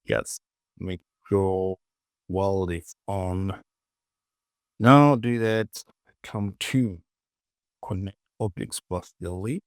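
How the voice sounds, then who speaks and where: A man giving an informal software tutorial, muttering short clipped instructions to himself and often repeating words. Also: low-pitched, drawn-out words, long pauses, no echo, clean studio sound. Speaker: 3D modeling tutorial presenter.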